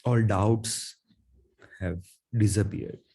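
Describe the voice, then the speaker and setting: A man with a low, very mellow voice guides a meditation. He speaks slowly and gently, in short soothing phrases with long pauses between them. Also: fairly even intonation, creaky, slightly rough. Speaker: meditation guide, Reiki teacher.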